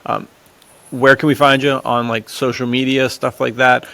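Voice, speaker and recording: Creaky-voiced man hosting a casual podcast. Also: mid-range voice, little pitch movement, moderate pace, sharp tone. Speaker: podcast host. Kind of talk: casual chat.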